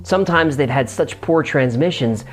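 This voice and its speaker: A man's voice: a little high, moderate pace, soft background music. A man hosting a show and narrating in a scripted explainer style.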